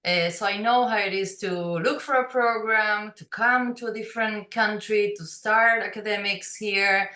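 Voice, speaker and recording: A woman's voice: medium pitch, steady tone, normal speed, hardly any pauses, pushed and bright, reverberant. A woman with a Uruguayan Spanish accent, co-head of an academic program, explaining it in an online presentation with frequent hesitations. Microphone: video call.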